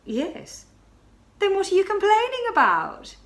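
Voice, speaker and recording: A woman reads as a young marchioness in a storybook tale, amused and blunt. She speaks briskly, with a bright, lively lilt. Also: extremely high pitch, pitched above the performer's own voice, full character voice.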